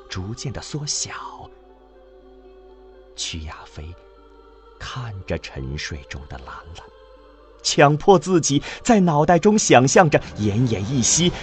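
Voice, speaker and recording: A man narrating a scripted radio drama in an emotive, deliberate storytelling manner. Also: fairly high pitch.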